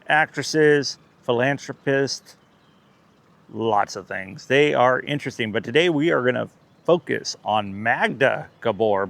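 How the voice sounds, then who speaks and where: A man's voice: medium pitch, fairly bright tone. Chatty man giving a casual neighborhood tour. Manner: chatty, jokey, self-correcting. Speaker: vlogger, tour guide. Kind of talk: casual touring commentary. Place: outdoors in a residential neighborhood.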